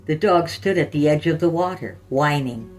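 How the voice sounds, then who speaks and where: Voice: mid-range voice, expressive, moderate pace. Narrator reading fiction over music. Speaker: podcast host and story reader.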